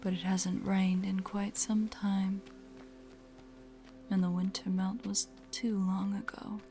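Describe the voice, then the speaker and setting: A woman playing a predatory, teasing spider-woman monster in a horror audio roleplay, with a breathy, whispery voice and a taunting, menacing manner. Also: medium pitch, little pitch movement, mellow, faint music behind. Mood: smug threat. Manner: slow, taunting, playfully sinister.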